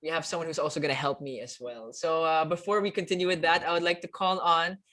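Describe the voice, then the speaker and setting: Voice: mid-range voice, steady tone, fast, a bit bright. Stammering talkative interview host. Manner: talkative, stammers and repeats words. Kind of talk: casual interview in mixed English and Tagalog.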